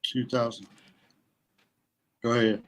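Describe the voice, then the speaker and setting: A middle-aged man from West Virginia, chatting casually as a guest in a halting way with pauses. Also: medium pitch, steady tone, a bit bright, a little hiss or hum. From West Virginia, USA. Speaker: podcast guest, kayak angler. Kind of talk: casual chat. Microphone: remote online call.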